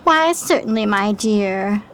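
A woman's voice: mid-range voice, animated, slow pace, a bit bright. A woman playing a chic, coaxing gambling-parlor hostess in a 1920s period radio drama, gracious and sugary.

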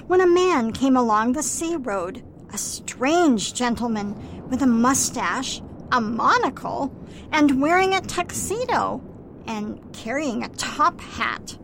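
A woman acts as a disapproving mother in radio drama. She speaks slowly and sternly in a high voice, over faint background rumble. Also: lively intonation, exaggerated voice, rough recording. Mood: suspicious. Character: disapproving, suspicious mother of grown daughter. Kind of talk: amateur radio play acting.

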